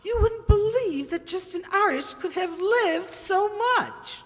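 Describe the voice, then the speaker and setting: Woman acting a high-voiced, animated radio sitcom housewife. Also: a little slow, soft background music. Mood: gushing. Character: spirited, chatty, starry-eyed 1940s-style housewife. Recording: long hall reverb.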